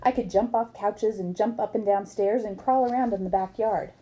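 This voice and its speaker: A woman's voice: mid-range voice, fairly even intonation, moderate pace, warm tone, poor audio. A woman reading a book aloud expressively, as for children, acting up the dialogue. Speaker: book reader.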